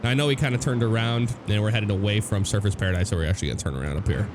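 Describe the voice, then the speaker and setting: A young man from the United States talks through a driving game in a loose, rambling way, full of fillers and restarts. His voice sits on the low side and is hoarse from a cold. Loud engine and road sounds from the game run underneath him. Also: rapid-fire. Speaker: gameplay commentator. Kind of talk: casual driving game commentary. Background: car engine and road noise from the game.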